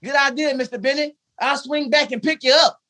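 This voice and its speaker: A man's voice: very high voice, steady tone, fairly quick, bright, forceful tone, full character voice. A man playing a loyal but cheeky household valet in 1950s radio comedy style, with dry, sassy punchlines. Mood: wry.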